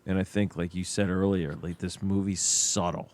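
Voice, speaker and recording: A man co-hosting a casual film podcast, relaxed and thoughtful, with halting restarts. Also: sits low. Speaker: podcast co-host.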